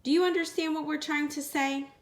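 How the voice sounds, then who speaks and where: A woman's voice: high-pitched, fairly even intonation, brisk, roomy sound, pitched above the performer's own voice. A woman voicing a concerned, reasonable mother mouse in a storybook, questioning and explaining. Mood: doubtful, reasoning.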